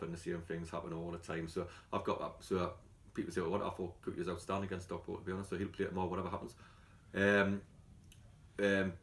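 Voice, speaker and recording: A man with a London accent talks at a brisk clip in a fairly low voice. He sounds like a football manager at a casual catch-up, chatty and colloquial, telling things the way he would to mates. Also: a bit bright. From London, England. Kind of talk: casual press catch-up interview.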